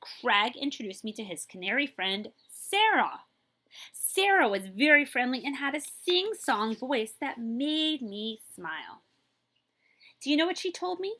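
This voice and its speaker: A woman reads a children's story aloud in a bright, slightly high voice. Her delivery is animated and expressive, swinging widely in pitch and leaning slowly on key words. She speaks straight to her young listeners as a librarian would at story time. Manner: expressive, drawn-out emphasis, checks in with listeners. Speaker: librarian reading a picture book aloud.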